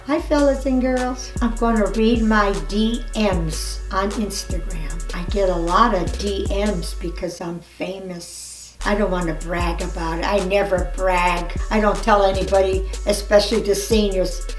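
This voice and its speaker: An elderly woman reading messages aloud and commenting casually in a blunt, scolding, animated manner. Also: medium pitch, a little slow, hardly any pauses. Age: 70s or older. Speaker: grandmother reading messages for a family video channel. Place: living room, indoors in an armchair. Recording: echoey room, distant mic, music.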